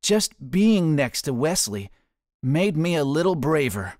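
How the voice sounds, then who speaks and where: Theatrical, very expressive male storybook narrator. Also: on the high side, background noise. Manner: theatrical, deliberate emphasis. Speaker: professional voice actor reading aloud. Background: soft music.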